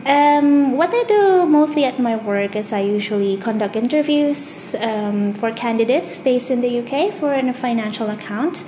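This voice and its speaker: A woman in her twenties with a Filipino accent, whose first language is Tagalog. She reads a story carefully, then talks about herself in measured speech with frequent "um" fillers. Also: a little high, lively intonation, warm tone, a little hiss or hum, low-quality recording. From Philippines. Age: 20s. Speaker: dialect archive speaker. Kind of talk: reading a passage, then casual self-description.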